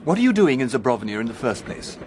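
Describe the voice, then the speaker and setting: An actor playing a formal, pedantic English professor in a radio drama. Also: male voice, medium pitch, very animated, quick pace, darkish tone, slight background noise, lightly acted. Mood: stern, impatient. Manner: formal, impatient.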